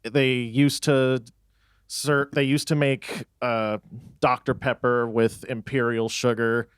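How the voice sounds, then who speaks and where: A man's voice: medium pitch, takes it slowly, clear, resonant tone, dry recording, studio quality. A young man from Texas chatting casually in a band interview, rambling and laughing easily. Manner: relaxed, rambling, laughs often. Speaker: band member.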